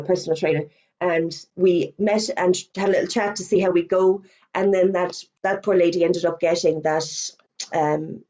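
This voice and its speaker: A middle-aged woman with an Irish accent, a fitness instructor giving a webinar presentation, chatty, with quick asides and self-corrections, her voice going hoarse as she talks. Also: on the low side, darkish tone, highs cut off. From Ireland. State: losing her voice.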